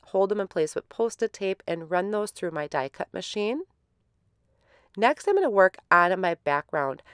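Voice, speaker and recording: A woman hosting a card-making tutorial in a relaxed, step-by-step voice-over, a little stuffy from a head cold. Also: fairly low pitch, clear, resonant tone, no echo, studio quality. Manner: relaxed, step-by-step explaining with fillers.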